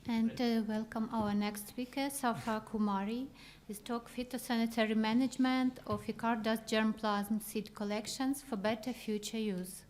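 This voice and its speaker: A woman's voice: on the high side, fairly even intonation, moderate pace, hardly any pauses, a little mellow. A woman session chair introducing a speaker at a conference, with faint room noise.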